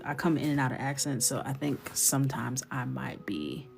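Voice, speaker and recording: A woman's voice: a bass voice, normal speed, gravelly, soft background music. A young woman from New York chatting playfully while she colors on a livestream, slipping into whispers. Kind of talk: casual chat while coloring.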